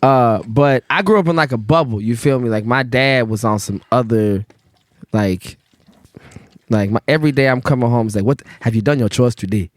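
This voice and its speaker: A man's voice: mid-range voice, moderate pace, no room sound, clean studio sound. A man, telling his life story in a loose, slangy podcast chat. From Memphis, Tennessee. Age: 30s. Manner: laid-back slangy storytelling with fillers. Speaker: guest bartender.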